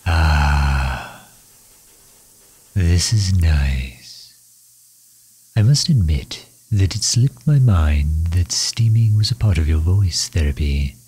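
Male actor as gentleman villain inventor, ASMR roleplay, extremely husky breathy voice, unhurried, formal, courteous, solicitous. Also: low-pitched, animated, exaggerated voice. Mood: relaxed, musing, then gently concerned. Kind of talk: intimate audio roleplay, ASMR-style acting.

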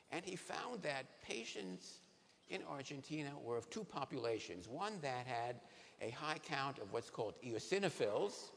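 Animated older man lecturing in a hall. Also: medium pitch, creaky. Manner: animated, asks the audience questions. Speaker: neurologist giving a conference talk. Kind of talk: lively science lecture to patients. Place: large conference hall stage. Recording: reverberant hall, faint noise.

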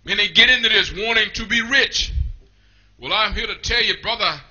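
Fired up church preacher guy, real high bright voice, shouts his lines in a rhythm, small church room. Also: normal speed, dull audio. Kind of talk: revivalist sermon.

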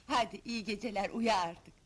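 A woman's voice: mid-range voice, takes it slowly, fairly bright tone. An actress playing a patient young woman who coaxes a childlike man as if talking to a small child, in a comedy film. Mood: gently scolding.

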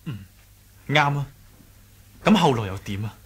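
A man's voice: medium pitch, animated, measured pace, husky. A man with a Hong Kong Cantonese accent playing an earnest, courteous young martial-arts leader in a radio drama. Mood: concerned, curious. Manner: courteous, eagerly questioning. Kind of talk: 1980s radio drama acting.

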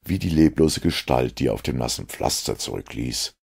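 A man narrating a dark audio story from a script, in a grave, deliberate manner. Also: extremely low pitch, animated, creaky, breathy edge.

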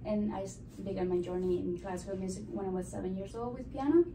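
A woman's voice: medium pitch, fast. Young woman from Cuba, eager. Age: young adult. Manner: eager, with many "you know" fillers. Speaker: classical guitarist guest. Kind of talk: interview answers. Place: small room with a framed map on the wall. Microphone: stand mic, filmed by a far camera. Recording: roomy, distant, noisy.

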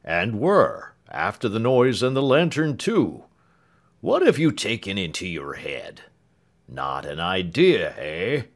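Calm, wry young manservant in a storybook, slightly raised voice, read by a man. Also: on the low side, slow pace, exaggerated voice. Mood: composed. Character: composed, dry-witted young male servant.

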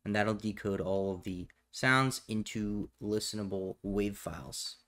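Low-voiced man giving a tutorial. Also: steady tone, unhurried, fairly bright tone. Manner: matter-of-fact, instructional. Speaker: tutorial presenter. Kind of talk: step-by-step software tutorial narration.

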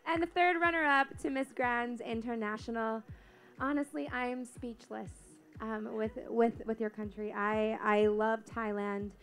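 High-voiced earnest American woman. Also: steady tone, a bit bright. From United States. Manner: halting, earnest. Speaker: pageant titleholder.

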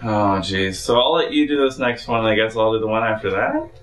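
A man co-hosting a gaming show, reacting loudly, laughing and teasing his partner as they play. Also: fairly low pitch, a little fast. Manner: excitable, swearing, laughing, teasing. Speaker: co-host playing along. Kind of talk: casual let's-play commentary. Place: indoor room, seated on a couch. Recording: roomy echo with loud game music.

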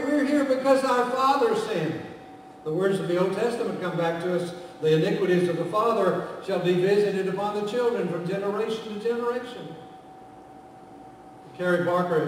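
An older man with a Southern American accent preaching a storytelling sermon from a church pulpit, moving between loud passages and hushed ones. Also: fairly high pitch, slight background noise. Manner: dramatic, voices quoted characters, swings from loud to hushed. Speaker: guest preacher. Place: church sanctuary, medium-sized, indoors. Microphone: far camera, pulpit mic. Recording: echoey church from far off.

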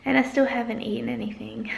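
Tired woman with a croaky, gravelly voice. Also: a little high, steady tone, a little fast. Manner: chatty, rambling, laughs often. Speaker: vlogger. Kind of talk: casual daily vlog. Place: home kitchen. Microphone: handheld camera at arm's length. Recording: echoey kitchen with faint ambience.

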